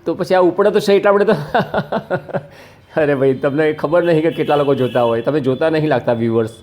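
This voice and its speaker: Fast-talking Gujarati man, slightly high-pitched. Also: darkish tone. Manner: insistent, explanatory, colloquial. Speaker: activist and social media video maker. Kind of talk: casual argumentative conversation.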